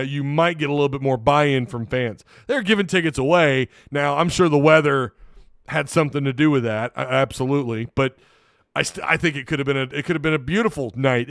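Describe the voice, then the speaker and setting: A man's voice: on the high side, a bit bright, clear, resonant tone, no room sound, clean studio sound. A man hosting a casual solo sports recap, tired and losing his voice, rambling with frequent restarts. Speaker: sports podcast host.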